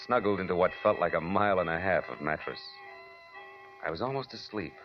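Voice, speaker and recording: An actor playing a wry, tough American private detective who narrates his case in a dry 1940s radio style. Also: low-pitched. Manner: dry, wisecracking. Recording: dull vintage radio recording, music behind.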